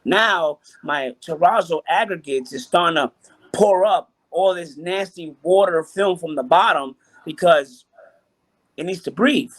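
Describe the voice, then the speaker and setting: A man's voice: a little high, unhurried, fairly bright tone, poor audio. A man from Puerto Rico, a hotel chief engineer, talking as a guest over a video call, often repeating himself and restarting sentences. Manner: repeats phrases, frequent restarts. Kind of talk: casual interview chat.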